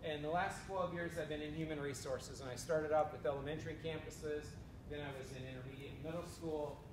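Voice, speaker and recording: A man's voice: steady tone, fairly quick. A man in his forties from North Dakota, telling his career story to a room with dry humor. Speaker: human resources administrator. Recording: roomy echo from far off, rumble.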